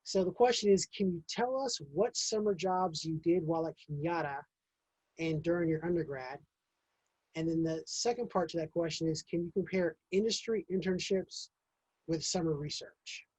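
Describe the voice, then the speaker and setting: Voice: mid-range voice, normal speed, a little mellow, husky, dry recording, rough recording. A moderator who reads out audience questions in an upbeat, encouraging way.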